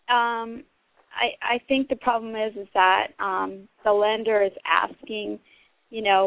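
A woman's voice: mid-range voice, gravelly, no echo. Hesitant woman caller on a phone line. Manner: hesitant, many "um"s and "you know"s. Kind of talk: phone call to a radio show. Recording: tinny phone line, dry.

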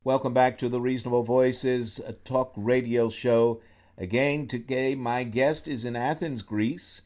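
A man's voice: mid-range voice, fairly even intonation, unhurried, a little mellow. A man hosting a talk radio show, asking long, rambling, thoughtful questions with many restarts. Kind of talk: radio interview, conversational questions. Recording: slightly dull lo-fi radio sound.

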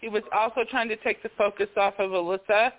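A man's voice: sits high, steady tone, quick pace. A man in a casual podcast group chat, agreeable, with many short affirmations. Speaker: podcast panelist. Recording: phone-like call audio.

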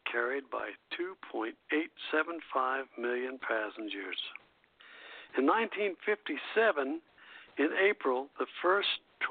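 An older man hosting a radio show in a folksy, halting way, reminiscing and reading out notes. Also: on the high side. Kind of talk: casual reminiscing and reading out airline history notes. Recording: tinny narrow phone-line internet radio.

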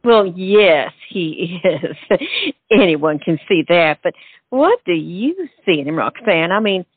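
A woman acting a bossy, skeptical friend in a stage comedy, wry and teasing. She takes her time with a lively, swooping delivery, through a tinny narrow line. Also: on the low side, slow pace, slightly rough, no room sound, exaggerated voice. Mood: skeptical, amused. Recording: tinny, narrow-band sound.

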